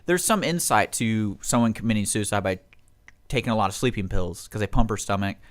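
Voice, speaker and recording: A man's voice: fairly low pitch, a little fast. A man hosting a radio show, chatting casually in a rambling, teasing way. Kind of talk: casual chat. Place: radio studio.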